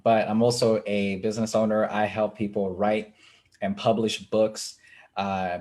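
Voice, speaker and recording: A young man from Kenya, an author being interviewed, speaking earnestly with frequent pauses. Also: fairly low pitch, a little mellow. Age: young adult. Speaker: author and writing coach, guest. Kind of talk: casual interview talk.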